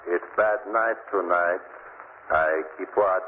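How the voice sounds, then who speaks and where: An actor playing a fearful island servant who speaks halting, broken English in an old radio horror drama. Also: male voice, low voice. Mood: subdued, uneasy. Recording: lo-fi old radio recording, faint noise.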